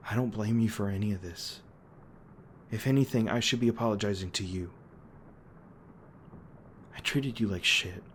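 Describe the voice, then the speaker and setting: A man playing a gruff, guilt-ridden monster hunter with a tender side, speaking haltingly and emotionally in an intimate audio roleplay. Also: fairly low pitch, fairly bright tone, lightly acted. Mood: remorseful. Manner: halting, stammering, emotional, swearing. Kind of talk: intimate dramatic audio roleplay addressed to listener. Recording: noticeable background noise and ambience.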